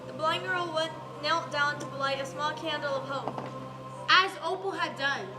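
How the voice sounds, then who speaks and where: A woman's voice: high voice, measured pace. A young woman playing a solemn storyteller, narrating a tragic tale in a school stage play. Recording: echoey stage, loud music behind.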